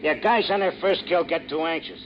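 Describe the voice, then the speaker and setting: An actor in a radio drama playing a worldly-wise, folksy big-city cabbie with slangy, familiar talk. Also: male voice, fairly high pitch, a little fast, natural voice. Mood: chatty, knowing. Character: chatty, worldly-wise male big-city cab driver. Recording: muffled, noisy old radio drama recording.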